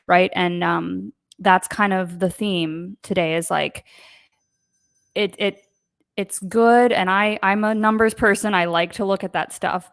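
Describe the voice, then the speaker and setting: A woman's voice: mid-range voice, dry recording, clean studio sound. A woman hosting a casual live online show, chatty and rambling with many fillers. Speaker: host. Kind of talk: casual livestream chat.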